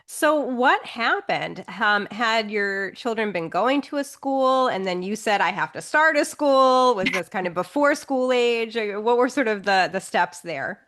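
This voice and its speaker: A woman's voice: fairly high pitch, animated, measured pace, few pauses, fairly bright tone. A woman hosting an education podcast, introducing her guest and asking questions with enthusiasm. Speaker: podcast host.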